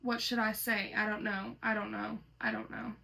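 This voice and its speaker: A woman's voice: mid-range voice, little pitch movement, moderate pace, creaky, sharp tone. A woman reading a horror story aloud as host, slipping between dramatic reading and casual commentary.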